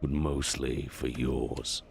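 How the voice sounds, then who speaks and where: A man voicing a commanding warlord of a space army in an audiobook-style reading, grave, deliberate and threatening. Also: very deep voice, little pitch movement, gravelly, pitched below the performer's own voice, full character voice. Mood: cold menace.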